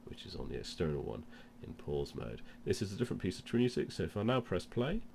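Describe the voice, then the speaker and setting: A man with a very deep voice and a cold gives an informal how-to demonstration. He explains each step as he goes, and there is clear noise in the recording. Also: very animated, brisk, a little mellow. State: has a cold. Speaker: presenter of product demonstration. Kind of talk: informal instructional demo.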